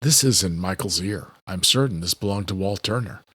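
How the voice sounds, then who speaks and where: A man's voice: deep voice, normal speed, fairly bright tone, breathy edge, dry recording, voice pushed down. A man playing a protective, level-headed brother in an audiobook, speaking in a subdued, reassuring way. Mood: steady. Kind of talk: audiobook character acting.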